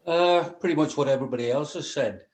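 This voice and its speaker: A man's voice: a little high, fast talker, a little mellow. A man giving a casual, blunt, colloquial critique as a member of a script panel. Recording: echoey room sound.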